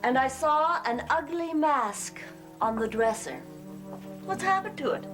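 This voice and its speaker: Gothic soap mother: middle-aged, genteel, dutiful worrier, animated intonation, hesitant politeness, music bed. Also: sits high, unhurried. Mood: worried, reproving. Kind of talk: gothic soap opera acting.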